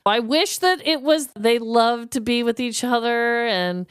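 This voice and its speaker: A woman's voice: fairly high pitch, unhurried, clear, resonant tone, no room sound, studio quality. A woman co-hosting a comedy podcast in casual, chatty banter.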